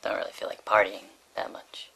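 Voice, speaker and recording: A woman voicing a glum, sensible schoolboy puppet in a deadpan, matter-of-fact way in comic dialogue. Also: fairly quick, background noise, close to an everyday voice.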